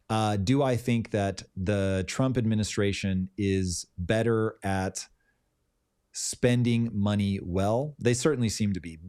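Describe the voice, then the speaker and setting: Male podcast host, deep dark voice, plainspoken and emphatic, swears freely. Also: no echo, studio quality. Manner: blunt, swears casually, drawn-out emphatic points. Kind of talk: casual live-stream talk.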